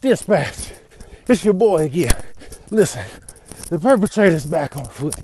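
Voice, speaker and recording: A man's voice: a little high, big pitch swings, measured pace, mellow, a little hiss or hum. A man voicing an exasperated veteran cop with a grumbling, folksy manner in a comedic voiceover. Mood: apologetic, weary. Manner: grumbling, world-weary, folksy. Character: weary veteran male cop on a foot chase, exasperated. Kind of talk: comedic improvised video voiceover.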